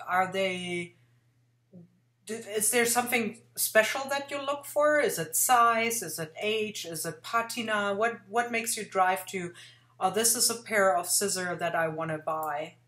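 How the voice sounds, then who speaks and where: A woman's voice: medium pitch, measured pace, a bit bright. Chatty woman host on an echoey webcam. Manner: enthusiastic, chatty, rambling with many fillers. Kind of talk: casual interview chat. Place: home room indoors. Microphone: webcam on video call. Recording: roomy webcam sound.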